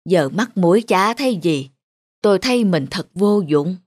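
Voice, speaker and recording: A woman playing a kindly, wistful old village wife in an animated folk tale. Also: lowish voice, moderate pace, dry recording, studio quality. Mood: downcast.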